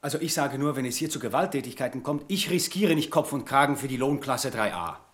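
An actor playing an aggrieved, cowardly junior bank clerk in a radio play, with a sardonic, complaining manner. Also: male voice, mid-range voice, animated, brisk, fairly bright tone, natural voice. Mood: nervous. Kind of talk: German radio play acting.

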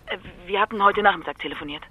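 An actress playing a worried, indignant wife with a husky edge, insisting on being heard in a radio crime drama. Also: female voice, medium pitch, rapid-fire, narrow, tinny audio, natural voice. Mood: worried, insistent. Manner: insistent, affronted.

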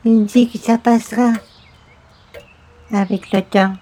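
Meek, high-voiced gentleman. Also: fairly even intonation. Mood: meek, mournful. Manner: formal, humble, halting. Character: meek, courteous, solemn male religious door-to-door witness. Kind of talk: old-time radio comedy acting.

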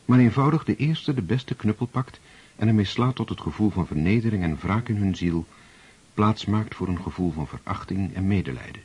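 A man playing the solemn narrator of a war tale in a radio drama, measured and literary. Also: on the low side, expressive, a little mellow, breathy edge.

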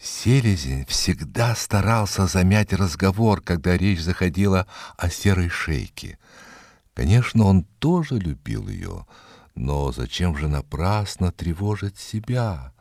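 A man narrating an animal tale as a theatrical, expressive storyteller, reading from a script. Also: low voice, unhurried, gravelly, no room sound, studio quality.

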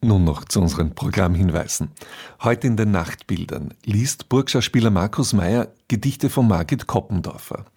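A man's voice: lowish voice, moderate pace, darkish tone, slightly rough. A man presenting scripted programme announcements on the radio, composed and articulate.

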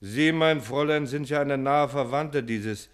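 Actor as a pedantic, condescending police commissioner. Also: male voice, mid-range voice, smooth, natural voice. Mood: deliberate, probing. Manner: officious, condescending, deliberate. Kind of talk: radio play acting.

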